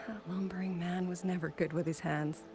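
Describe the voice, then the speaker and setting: Actress as wistful game heroine, grieving ex-musician soldier, lowish soft measured voice, music playing loud behind. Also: female voice, fairly even intonation, lightly acted. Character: grieving former musician turned soldier, wistful, resolute woman. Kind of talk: dramatic video game voice acting.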